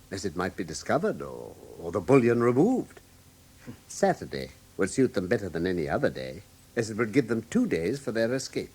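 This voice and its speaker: An actor in a British radio drama playing a coolly analytical gentleman detective with an English accent and a precise, assured manner. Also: on the low side, husky. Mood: precise, animated.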